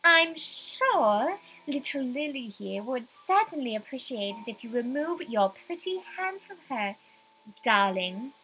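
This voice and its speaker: A woman's voice: high voice, big pitch swings, slow pace, pushed and bright, slight background noise, full character voice, low-quality recording. A woman voicing a poised, possessive wealthy wife in a visual novel, with drawling, condescending sweetness. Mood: icy possessive.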